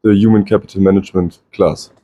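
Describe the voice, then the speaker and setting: A man's voice: low voice, fairly even intonation, fast talker, lo-fi sound. A man, introducing himself in class. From Germany. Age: 20s. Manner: brief friendly self-introduction. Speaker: student.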